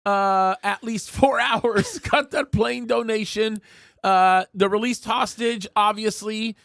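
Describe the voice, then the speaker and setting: A man's voice: sits high, steady tone, slow pace, creaky, a bit bright, no room sound, clean studio sound. A male host chatting casually on a live show, joking and reading out viewer comments. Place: studio.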